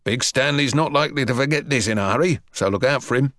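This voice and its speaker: A man voicing a brisk, indignant but protective male PE teacher in a storybook, with a hectoring manner. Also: medium pitch, dry recording, studio quality, lightly acted. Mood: brisk concern. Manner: loud, scolding, hectoring.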